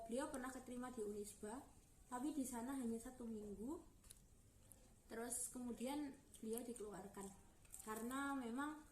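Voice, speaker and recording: A young woman gives an informal explanatory student presentation, haltingly searching for words. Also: fairly high pitch. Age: young adult. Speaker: university student giving a presentation. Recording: roomy echo, clear background noise.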